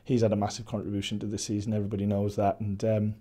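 A Welsh man in his forties, a football head coach, giving a measured post-match interview with many restarts and fillers. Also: on the low side, monotone, mellow. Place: indoor press backdrop at a stadium.

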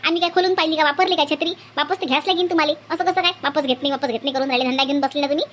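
A woman voicing several bickering village women in a cartoon, shrill and scolding, with a Varhadi Marathi accent. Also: steady tone, racing, fairly bright tone, slight background noise. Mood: indignant. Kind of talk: cartoon voice acting.

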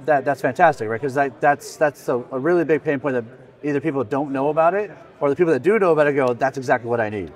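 A man's voice: medium pitch, fast, a little mellow. A man in his forties conducting a casual interview, with informal, rambling phrasing. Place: busy indoor expo hall booth. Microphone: podcast microphone on stand.

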